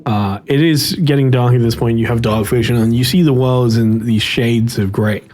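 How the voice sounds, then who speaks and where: A man running a tabletop roleplaying game as game master, explaining and narrating in hesitant, halting phrases. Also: medium pitch, fairly even intonation, darkish tone, soft background music.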